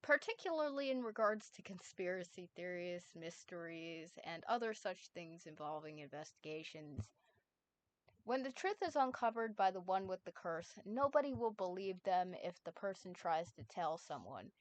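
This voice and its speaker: A woman reading as a calm all-knowing time ghost. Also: lowish voice, normal speed, lightly acted, low-quality recording. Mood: explaining.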